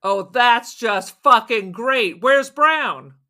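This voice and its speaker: A woman acting as a jittery, suspicious robber in a crime audio drama. The voice is fairly high, bright and forceful, arguing emphatically and cursing freely. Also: animated, takes it slowly, full character voice. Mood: alarmed. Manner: ranting, emphatic, profane. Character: agitated, suspicious, fast-reasoning robber, foul-mouthed and paranoid.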